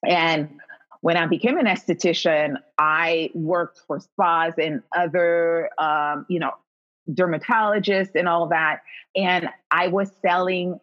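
A woman from Haiti with a Haitian accent, a guest telling her story in a casual, effusive interview. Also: deep voice. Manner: warm and effusive, quick to affirm. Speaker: guest, skincare company founder. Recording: dull lo-fi audio.

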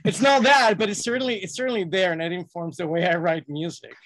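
A man's voice: high voice, steady tone, fast, fairly bright tone, no echo. A man from Mexico, a composer on a panel, talking reflectively and with light amusement. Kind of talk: casual panel talk.

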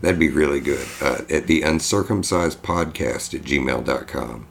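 A man's voice: very deep voice, normal speed, vocal fry. A man co-hosting a casual podcast, joking around and swearing freely. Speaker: podcast co-host.

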